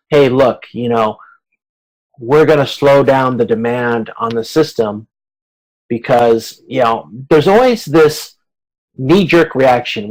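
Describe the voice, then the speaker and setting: A man's voice: medium pitch, gravelly, rough recording. A man giving an informal lecture over a video conference, explaining in colloquial language and often acting out short hypothetical remarks. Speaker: lecturer in supply chain management.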